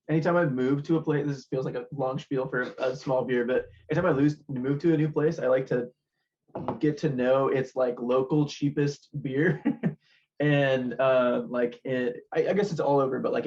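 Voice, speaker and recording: Gravelly band guy, chill and dry jokes, muffled sound. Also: medium pitch, steady tone, moderate pace, a little mellow. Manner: dry humor, easygoing. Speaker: band member. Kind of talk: casual podcast chat.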